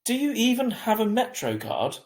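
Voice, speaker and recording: A man's voice: sits high, huge pitch swings, normal speed, echoey room, pitched above the performer's own voice, exaggerated voice. A man voicing a worried, protective mother of a teenager in a storybook reading, fussing and pressing. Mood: annoyed.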